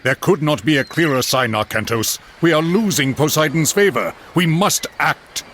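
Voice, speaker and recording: An actor playing an insistent, grave religious advisor in a mythological video game, urgent and portentous. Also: male voice, a little high, expressive, moderate pace, a bit bright, a little hiss or hum. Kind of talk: video game cutscene acting.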